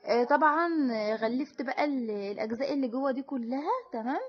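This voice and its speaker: Quick, high-voiced Egyptian woman. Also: dull audio. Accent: Egyptian Arabic. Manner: chatty and explanatory, with frequent restarts and fillers. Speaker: DIY crafts channel host. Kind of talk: casual voice-over crafting tutorial.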